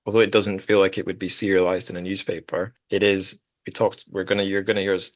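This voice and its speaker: A man's voice: low voice, little pitch movement, quick pace, no room sound. A man hosting a podcast, talking casually about books in a rambling, self-correcting way. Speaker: podcast host. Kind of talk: casual literary discussion.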